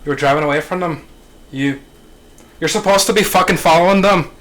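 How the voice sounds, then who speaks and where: A man playing a gruff, impatient, foul-mouthed mob boss at a screenplay table read. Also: a little high, a little fast, fairly bright tone, natural voice. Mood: angry, demanding. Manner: blunt, impatient, swearing.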